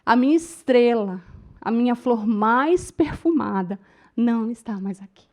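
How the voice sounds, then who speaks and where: Brief: Brazilian woman, middle-aged, high voice, public speaking teacher, emotive storyteller who talks straight to the room. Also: unhurried. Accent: Brazilian Portuguese. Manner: emotive storytelling, addresses the audience as "gente". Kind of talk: lecture to a class.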